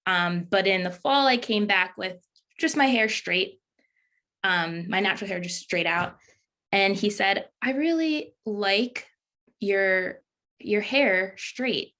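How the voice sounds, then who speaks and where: A woman's voice: medium pitch, slow, pushed and bright. A woman speaking as a panelist, telling a story hesitantly with many ums and small laughs. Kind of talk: candid panel talk.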